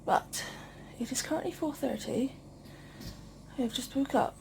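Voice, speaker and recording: A woman hosting a casual vlog, chatting informally and haltingly to the camera. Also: high-pitched, vocal fry, a little mellow, slight background noise, poor audio. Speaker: vlog host.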